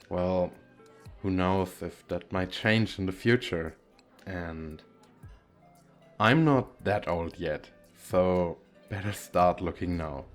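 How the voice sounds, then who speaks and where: A man playing a shy, playful single dad on a speed date in an ASMR roleplay, talking casually with hesitant, self-deprecating, halting phrasing. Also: sits low. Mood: sincere, bashful.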